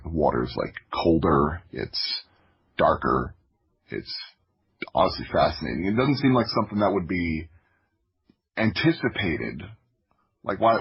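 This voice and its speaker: Deep, husky-voiced man reminiscing. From Florida, United States. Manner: halting, thinking aloud. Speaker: podcast guest, audio drama creator. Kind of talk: casual autobiographical storytelling. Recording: dull, lo-fi audio.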